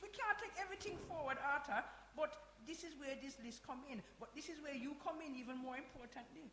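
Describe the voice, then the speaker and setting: An actress playing a brisk, insistent grandmother who runs a community centre, in a live radio play. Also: high voice, bright, forceful tone. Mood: brisk, persuasive. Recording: echoey hall, hiss and noise.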